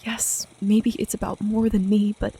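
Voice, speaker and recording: A woman playing a frightened, protective young seer with a breathy, often whispering voice in an audio drama. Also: medium pitch, little pitch movement, normal speed, dark tone. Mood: pleading, upset. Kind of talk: intense audio drama dialogue.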